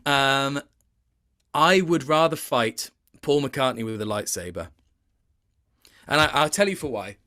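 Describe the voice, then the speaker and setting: A man with a bright, forward voice and mid pitch talks casually as an interview guest. He rambles hesitantly, repeating words and interrupting himself as he goes. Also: unhurried, pauses often. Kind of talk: casual chat.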